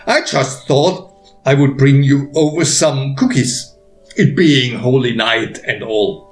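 A man narrating a written story in a deliberate, expressive way with pauses, as an author reading his own work. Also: mid-range voice. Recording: roomy echo, faint music behind.

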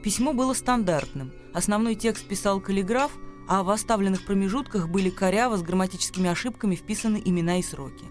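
Male narrator for radio play, notably high register, literary storytelling, light music behind. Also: quick pace, few pauses.

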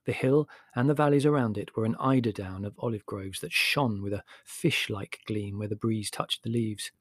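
A man's voice: fairly low pitch, normal speed, slightly rough, dry recording, studio quality. A man reading a literary memoir aloud as an audiobook narrator, in a descriptive storytelling style.

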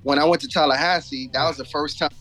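A man's voice: fairly high pitch, steady tone, fast, fairly bright tone, low-quality recording. A man from Jamaica and Florida chatting casually as a rapper guest in an interview, telling stories in slangy talk with frequent asides. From born in Jamaica, grew up in Florida.

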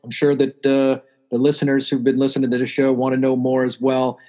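A man from the Washington DC area hosting his own show, chatting casually with a guest and often pausing on "uh". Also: medium pitch, monotone, dark tone. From Washington DC area, USA. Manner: friendly, chatty, many "uh" fillers. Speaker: podcast host. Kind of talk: casual interview chat.

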